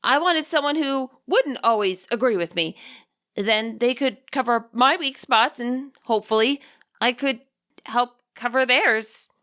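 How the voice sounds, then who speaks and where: A woman's voice: on the high side, a little slow, a bit bright, voice pushed up. A woman voicing a nervous, cautious middle-aged male spirit who speaks hesitantly and apologetically in a story reading. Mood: gentle, reflective.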